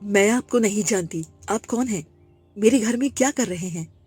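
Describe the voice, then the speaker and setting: A woman's voice: mid-range voice, moderate pace, warm tone, breathy edge, no room sound, soft background music, natural voice. A woman voicing a serious, reproachful mother in a cartoon moral story. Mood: confused, cold. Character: Raju's worried mother, later cold and unrecognising.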